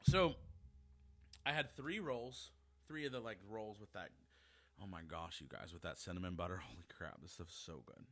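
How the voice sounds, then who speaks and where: A man's voice: sits low, lively intonation, moderate pace, a bit bright. A man, hosting a casual solo podcast and talking straight to his listeners in a chatty, self-deprecating way. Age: 40s. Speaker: podcast host.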